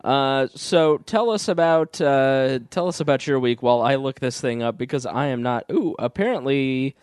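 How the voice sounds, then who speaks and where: A man's voice: mid-range voice, expressive, moderate pace, darkish tone, clean tone, no echo, clean studio sound. A young man with a cold and a slightly phlegmy voice, co-hosting a casual podcast with jokes, reading news aloud now and then. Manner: laid-back, joking, reads news items aloud. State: getting over a cold, phlegmy. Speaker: podcast co-host. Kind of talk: casual chat.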